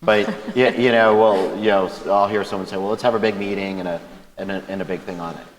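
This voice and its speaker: A man with a loud voice speaking on a conference panel, talking conversationally with frequent restarts and repeated words. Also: low-pitched, fairly even intonation, brisk. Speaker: government panelist. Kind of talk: panel discussion. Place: conference hall. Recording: echoey hall with faint ambience.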